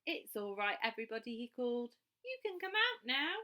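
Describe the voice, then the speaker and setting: A woman voicing a kindly, mild-mannered old male park keeper in a children's storybook reading, fussing and gently amused. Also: very high-pitched, very animated, fairly quick, exaggerated voice. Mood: reassuring.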